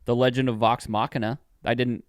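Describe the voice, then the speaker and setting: A man co-hosting a podcast in a relaxed, chatty way, teasing his friend. His voice is smooth and clear at a middling pitch. The sound is dry and close. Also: fairly quick, dry recording. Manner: playful, teasing. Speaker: podcast co-host. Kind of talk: casual chat.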